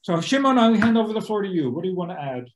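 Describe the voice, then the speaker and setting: Sixties man hosting an academic video call, lively, high-pitched, restarts often. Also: racing. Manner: animated, gesturing, many restarts. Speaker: host and lecturer. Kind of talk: lecture discussion on a video call. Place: living room at home.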